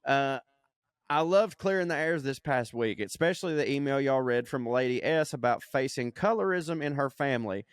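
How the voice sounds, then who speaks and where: A man's voice: medium pitch, expressive, normal speed, clear, resonant tone, no room sound, studio quality. A man co-hosting a podcast, reading a listener email aloud with profane, laughing asides. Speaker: podcast co-host.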